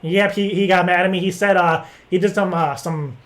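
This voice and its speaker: A man's voice: high voice, steady tone, normal speed, fairly bright tone. Hesitant, rambling male prank caller. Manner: hesitant, rambling, feigned earnestness. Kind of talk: improvised phone prank chat.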